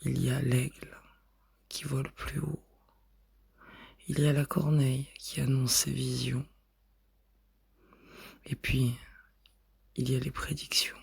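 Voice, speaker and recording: Breathy, half-whispering man reciting poetry. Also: mid-range voice, little pitch movement. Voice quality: breathy, husky, often dropping to a whisper. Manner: measured, in short separated phrases.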